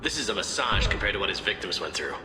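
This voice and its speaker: A gruff, weary prison guard from a video game. His lowish voice moves around a lot in pitch. It echoes down a long hall. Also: big pitch swings, quick pace. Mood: defensive, weary. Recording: echoey hall, loud music.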